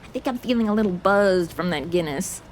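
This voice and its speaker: A woman's voice: lowish voice, expressive, brisk, very noisy, lightly acted. A woman playing a slangy, blunt, sarcastic modern young woman who is a detective's sidekick in a comedy audio drama. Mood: wry, questioning.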